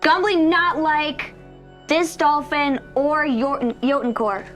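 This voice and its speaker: Woman doing a young boy shark, gruff, third-person talk, higher voice. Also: fairly even intonation, slow pace, a bit bright, soft background music. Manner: speaks of himself in third person, broken grammar. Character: young strong male shark, gruff and blunt.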